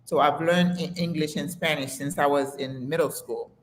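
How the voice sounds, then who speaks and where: An older woman from Haiti, a retired nurse, telling stories in a relaxed, casual conversation with many fillers. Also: sits low, moderate pace, slight background noise, low-quality recording.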